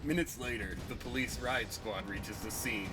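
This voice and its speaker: A man's voice: fairly low pitch, moderate pace. A man delivering scripted comic-book narration in a theatrical, ominous way. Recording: music behind the voice. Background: background music.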